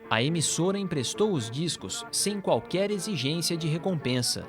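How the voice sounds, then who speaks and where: A man's voice: a little high, lively intonation, normal speed. A man with a Brazilian accent reads scripted documentary narration. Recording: music playing behind the voice. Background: background music.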